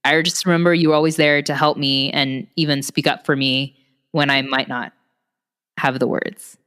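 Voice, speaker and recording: Low-voiced woman, hesitant storytelling. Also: little pitch movement, moderate pace, fairly bright tone, clear, resonant tone, in a large hall. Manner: hesitant, with many fillers. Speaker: podcast co-host. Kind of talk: casual chat.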